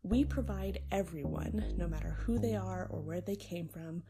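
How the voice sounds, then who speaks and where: A woman's voice: lowish voice, normal speed. A woman reads a scripted video essay in a conversational, emphatic way, with wry, informal asides. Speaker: library student presenting a book review. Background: background music.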